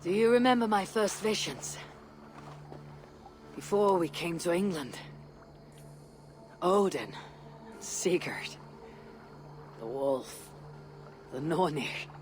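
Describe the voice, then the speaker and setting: Resolute viking warrior lady, husky n breathy, takes her time, bit of noise behind, video game drama. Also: fairly low pitch, lively intonation. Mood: earnest. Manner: measured, solemn, formal phrasing. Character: weathered Viking warrior woman, resolute and reflective.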